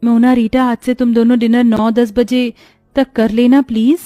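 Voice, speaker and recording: A woman's voice: a little high, level tone, moderate pace, mellow, no room sound, faint music behind, natural voice. A woman voicing a meek, overworked young stepdaughter in a melodramatic storybook tale, timid and pleading.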